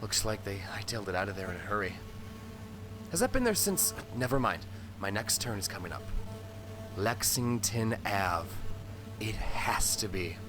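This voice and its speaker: A rambling, rattled delivery driver, swinging pitch. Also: male voice, big pitch swings, moderate pace, long pauses. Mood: anxious. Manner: rambling, self-correcting, muttering to himself. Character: rattled, rambling delivery driver disoriented after head injury. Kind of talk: post-apocalyptic audio drama monologue. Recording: radio transmission with music behind.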